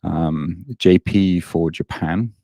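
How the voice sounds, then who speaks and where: A British man with a deep, mellow voice talks in an easy, self-deprecating way and often stops on "um" and "uh". The sound is close and dry, with no room echo. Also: steady tone, slow pace. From Britain. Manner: self-deprecating, many ums and uhs. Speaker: online fitness coach, guest. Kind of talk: casual podcast interview.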